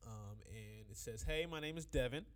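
A man's voice: mid-range voice, fairly bright tone. A young man hosting a hip-hop podcast in casual, slangy chat, a bit sick and sniffly. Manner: laid-back slangy talk, quick and loose. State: under the weather, sniffly. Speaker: podcast host.

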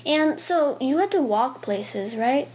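Young girl hosting her own podcast, high voice, chatty and eager, bit of hiss. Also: animated, slow, darkish tone. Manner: chatty and eager, with many ums. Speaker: podcast host. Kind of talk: casual kids' podcast interview.

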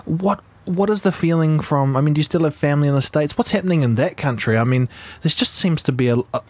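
A man from New Zealand hosting a casual interview, asking questions in a relaxed, conversational way. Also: on the high side, quick pace. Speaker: podcast host.

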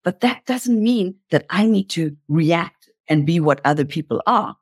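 A woman's voice: on the low side, lively intonation, unhurried, slightly rough, no room sound, studio quality. A woman speaking as an interview guest, explaining in an impassioned motivational style and repeating phrases for emphasis.

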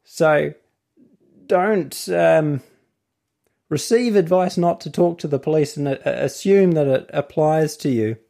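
A man's voice: on the high side, slow pace, vocal fry, warm tone. A man with a New Zealand accent giving a casual explanatory talk as host and legal author, wandering into asides and then finding his thread again.

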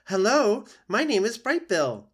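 A man voicing a small, eager male gosling with a high, slow, squeaky voice. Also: very animated, heavily acted. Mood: cheerful.

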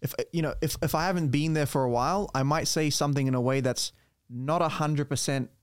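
A man's voice: fairly high pitch, fast talker, smooth, dry recording, studio quality. A man from Romania, a native Romanian speaker, hosting a podcast in casual conversation with informal, hesitant phrasing. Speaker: podcast host.

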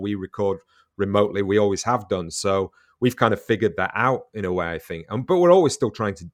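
Guy hosting a podcast chat, kinda low voice, repeats himself while thinking. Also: dry recording, clean studio sound. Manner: thoughtful, rambling, repeats words while thinking. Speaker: podcast host, interviewer. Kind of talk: casual interview chat.

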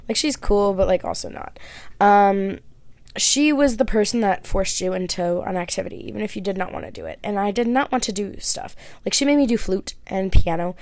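Young woman podcast host, relaxed chatty delivery, dry close mic. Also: mid-range voice, no echo. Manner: chatty, lots of "like" and "um". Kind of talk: casual Q&A chat. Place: her bedroom.

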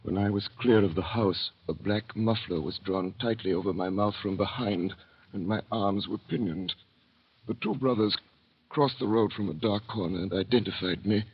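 Grave, anguished prisoner-doctor, deep measured radio-drama narration, dull old recording. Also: male voice, husky. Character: imprisoned French doctor, anguished, solemn, embittered.

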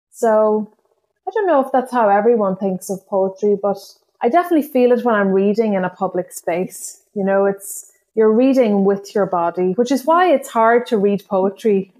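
A woman from Ireland with an Irish accent, a guest poet whose first language is Irish, speaking reflectively in an interview, pausing and restarting often. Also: mid-range voice, a little mellow, low-quality recording. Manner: thoughtful, hesitant, many restarts and fillers.

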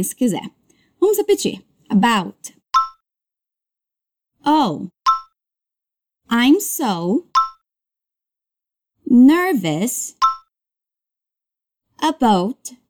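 A woman teaching English as a language lesson, modeling words slowly and carefully before brisk explanations. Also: on the high side, a little mellow. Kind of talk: slow drilled pronunciation lesson.

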